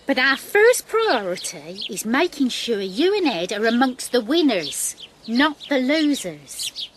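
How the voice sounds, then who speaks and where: Doting scheming mum, middle-aged, high swooping voice, radio soap, faint background ambience. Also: female voice, big pitch swings, a little slow, crowd noise. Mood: scheming, coaxing. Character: pushy, scheming, doting working-class village mother. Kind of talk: naturalistic radio soap acting.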